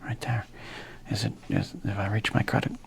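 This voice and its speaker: A man's voice: lowish voice, flat delivery, normal speed, creaky. A man casually commenting while opening packs of trading cards, with wry jokes and half-whispered asides. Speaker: card collector opening packs.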